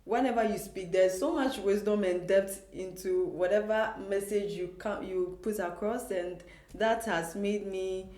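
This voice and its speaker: A woman from Ghana hosting her own channel, interviewing a guest warmly and with admiration. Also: medium pitch, unhurried. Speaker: host. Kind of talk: casual interview talk. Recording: echoey room with faint music.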